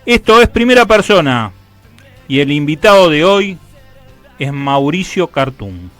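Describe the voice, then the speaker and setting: A man's voice: fairly high pitch, big pitch swings, a little slow, fairly bright tone, no echo, faint music behind. A man with an Argentine Rioplatense accent, hosting a conversational radio interview. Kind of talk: scripted radio intro and casual conversational interview.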